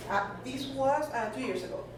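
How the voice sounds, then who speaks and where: A woman's voice: high-pitched, animated, normal speed. A woman giving a conference talk in a loose, conversational way with many fillers. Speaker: conference speaker. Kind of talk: presentation talk. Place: conference hall. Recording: echoey room from far off with noise.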